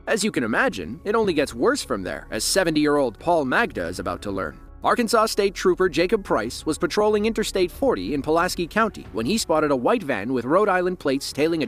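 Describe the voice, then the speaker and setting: A man giving scripted narration for a police video, with a dramatic, lively delivery. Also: a little high.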